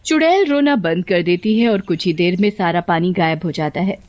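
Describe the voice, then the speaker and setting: A woman's voice: fairly low pitch, moderate pace, a little mellow. A woman narrating a scripted children's story.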